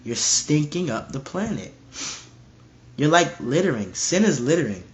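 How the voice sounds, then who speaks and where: Animated stuffy-nosed man explaining scripture. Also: a little high, measured pace. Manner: animated, slangy, acts out voices. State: stuffy nose. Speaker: Bible commentator. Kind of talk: casual Bible reading and commentary.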